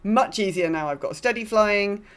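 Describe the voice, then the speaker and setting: A woman's voice: mid-range voice, normal speed, smooth. A woman co-hosting a podcast, chatting casually about gaming in a wry, conversational way with occasional swearing. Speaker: podcast co-host.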